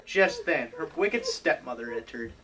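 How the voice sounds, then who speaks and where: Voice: medium pitch, big pitch swings, unhurried, background noise. A narrator telling a comic fairy tale on stage in a storybook style.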